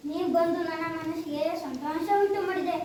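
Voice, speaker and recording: A woman's voice: very high-pitched, steady tone, a little fast, darkish tone. Kid doing krishna, kind young king, declaiming, far off in a big echoey hall w/ faint hiss. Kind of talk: school stage play.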